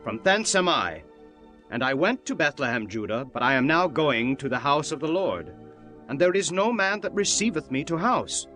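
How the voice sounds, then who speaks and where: A man acts out a courteous, resolute traveller in a scripture drama. The voice is medium in pitch and bright, stating old-fashioned lines earnestly over a faint music bed. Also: sing-song, normal speed. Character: resolute, courteous travelling master in biblical times.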